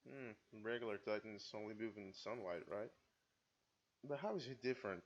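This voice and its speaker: Low-voiced man, stoic anime reactor. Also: moderate pace. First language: not English. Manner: self-described stoic, muttering asides and apologies. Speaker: anime reaction video host. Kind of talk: casual reaction commentary. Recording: dull lo-fi sound.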